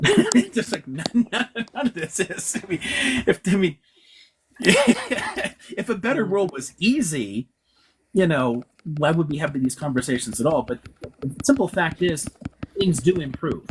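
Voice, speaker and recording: A man, a professor, talking bluntly and with a lot of swearing on a casual live stream, quick and full of restarts. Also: on the high side, big pitch swings, a little hiss or hum. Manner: blunt and profane, fast with many restarts. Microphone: live-stream setup in his office.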